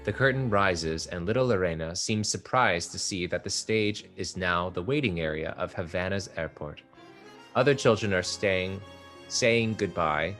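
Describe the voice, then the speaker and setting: Low-voiced man reading stage directions. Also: a bit bright. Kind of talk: play table read. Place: art exhibition theater area.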